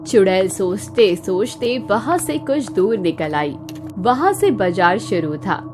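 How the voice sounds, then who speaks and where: A woman's voice: mid-range voice, big pitch swings, takes it slowly, darkish tone, faint music behind. A woman narrating a scripted cartoon story as its storyteller.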